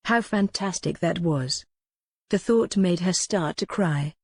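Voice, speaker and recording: A woman's voice: lowish voice, slow, darkish tone, slightly rough, dry recording. A woman reading a historical romance novel as scripted audiobook narration.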